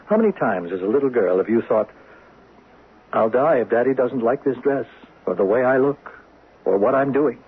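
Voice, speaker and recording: An actor playing a frank, calmly authoritative psychiatrist in a 1970s radio drama, questioning with measured pauses. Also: male voice, medium pitch, background noise, close to an everyday voice. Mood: frank, explaining. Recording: thin narrow-band vintage radio broadcast.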